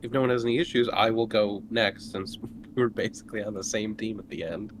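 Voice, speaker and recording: A man's voice: lowish voice, fairly even intonation, fairly quick, rough recording. A man who plays in a tabletop roleplaying game, reading out character notes and adding casual explanations. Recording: home microphone with faint background noise.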